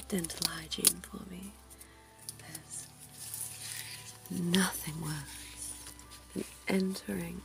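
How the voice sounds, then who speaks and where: A centuries-old vampire dentist, put on by a woman murmurs in a slow, intimate ASMR whisper. Her low voice has a gravelly, creaky texture and a genteel, teasing charm. Soft music plays underneath. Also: low-quality recording. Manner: genteel and teasing, quiet chuckles. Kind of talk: ASMR roleplay.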